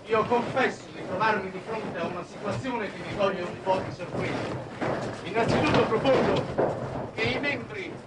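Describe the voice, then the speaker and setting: Man acting idealistic space delegate, high voice, speechy and halting, old tv drama, noisy sound. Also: close to an everyday voice. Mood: flustered, formal. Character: idealistic, wordy male head of a space diplomatic commission. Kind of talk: 1960s Italian television drama acting.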